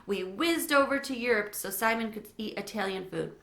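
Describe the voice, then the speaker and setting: A woman's voice: a little high, expressive, normal speed, vocal fry, fairly bright tone. A woman reading a children's storybook aloud as narrator. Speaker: read-aloud reader. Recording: echoey room.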